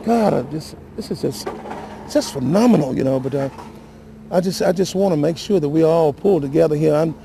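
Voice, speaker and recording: Male local official, creaky mellow voice, halting and shaken, live interview over background noise. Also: fairly high pitch. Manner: halting, repetitive, emotional and earnest. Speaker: city councilman giving interview. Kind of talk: unscripted live news interview.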